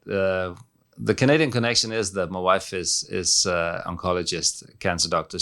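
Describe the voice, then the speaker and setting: Danish-accented man, very low smooth voice. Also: a bit bright. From Denmark. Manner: halting, many fillers and restarts. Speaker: head coach. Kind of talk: press conference answers.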